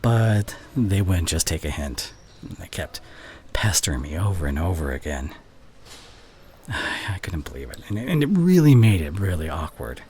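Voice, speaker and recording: Male actor as exasperated college student, low-pitched with lively swings, halting and teasing, ASMR roleplay, some background noise. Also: heavily acted. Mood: venting, relieved. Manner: halting, sighing, sarcastic. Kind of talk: ASMR roleplay acting, one side of a conversation. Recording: clear background ambience noise.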